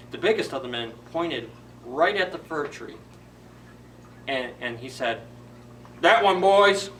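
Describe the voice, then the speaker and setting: Bright-voiced man telling a children's story. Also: on the high side, far from the mic, slight background noise, poor audio. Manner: simple repeated phrasing with questions to children. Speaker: library storyteller. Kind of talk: animated children's story retelling, informal.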